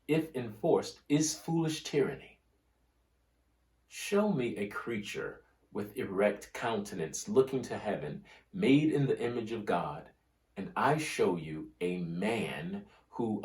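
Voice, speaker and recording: A man with a fairly low voice reads historical prose aloud very slowly. His delivery is solemn and oratorical, with deliberate pauses between phrases. The sound is roomy, with a clear echo around the voice. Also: darkish tone. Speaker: reader of a history book. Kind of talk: scripted dramatic reading of history and quoted oratory. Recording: roomy, echoing room sound.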